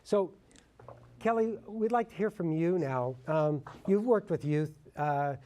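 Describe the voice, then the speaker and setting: Guy hosting a panel, really mellow dark voice, informal and encouraging, chatty. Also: on the high side, unhurried. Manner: encouraging, conversational. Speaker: panel facilitator. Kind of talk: informal moderating.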